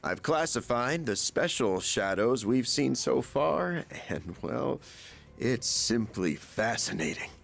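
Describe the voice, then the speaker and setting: A keen, professorial chairman who explains his research in a lively, rising-and-falling lecture voice. He sits at an ordinary middle pitch and sounds eager to share every detail. It is a video game cutscene. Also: slow pace, vocal fry, full character voice. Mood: lecturing. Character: chatty middle-aged male chairman and researcher, scholarly.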